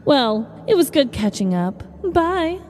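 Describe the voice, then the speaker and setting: A woman's voice: high-pitched, sing-song, takes it slowly, a little mellow, exaggerated voice. A woman playing a scheming, morbid, oddball middle-aged shelter worker in a comedic story, theatrical and falsely sweet. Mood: breezy, self-absorbed.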